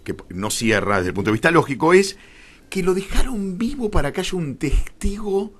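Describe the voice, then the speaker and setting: A man's voice: sits high, very animated, normal speed. A man with a Rioplatense Argentine accent, a radio guest explaining a theory in an emphatic, digressive, conversational way, often correcting himself.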